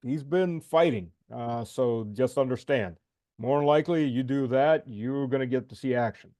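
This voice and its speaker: A man's voice: mid-range voice, moderate pace, mellow, no room sound, studio quality. Blunt, emphatic man on a video call. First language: Russian. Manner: blunt, emphatic, repeats himself. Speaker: podcast host. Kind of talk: casual interview chat.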